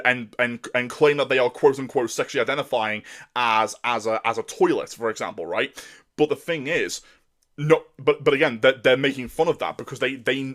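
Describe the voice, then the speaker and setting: Angry ranting man, deep voice, stumbling. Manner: angry and profane, with many repeated stumbles and restarts. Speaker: commentator. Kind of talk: ranting monologue.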